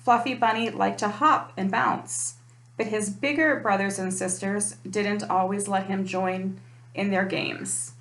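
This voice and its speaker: A woman's voice: mid-range voice, steady tone, unhurried, a bit bright. A woman reading a children's picture book aloud in a storytime style. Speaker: storytime reader, parent. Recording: echoey room.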